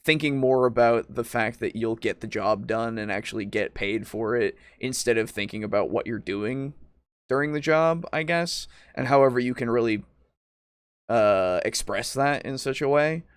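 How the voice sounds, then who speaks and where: A man's voice: mid-range voice, normal speed, clear, resonant tone, no echo. A man talking casually as a podcast co-host, rambling and thinking aloud with some hesitation. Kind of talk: casual discussion.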